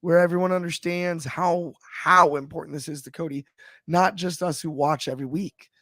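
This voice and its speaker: A man with a slightly raspy voice, chatting about wrestling on a panel show in a thoughtful, halting way with many restarts. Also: on the high side, fairly even intonation, bright, forceful tone, no echo, clean studio sound. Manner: thoughtful, frequent restarts and fillers. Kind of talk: casual discussion.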